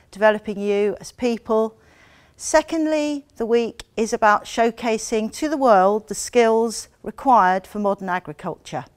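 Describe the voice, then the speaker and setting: A middle-aged woman judge welcoming and briefing contestants in a brisk, encouraging way. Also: on the high side. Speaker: competition judge. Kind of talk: addressing contestants.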